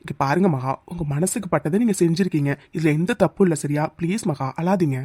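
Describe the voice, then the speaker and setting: A man acting a gentle, caring young office colleague in an emotional drama, soothing with insistent repetition. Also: fairly high pitch, a little fast, dry recording, natural voice.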